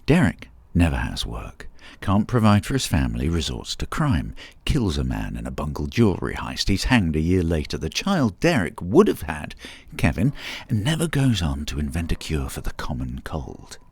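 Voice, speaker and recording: An actor playing an eager, theorising man in an audio drama, explaining emphatically in a gravelly, husky voice. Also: a bass voice, wildly swooping pitch, moderate pace, hardly any pauses, a little mellow, dry recording, exaggerated voice. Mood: musing, persuasive. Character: David, eager theorising man lecturing on time travel.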